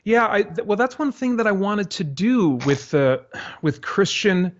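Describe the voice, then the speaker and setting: A man being interviewed casually as a guest, speaking in a halting way as he thinks aloud. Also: high voice, muffled recording. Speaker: interview guest, audio drama creator.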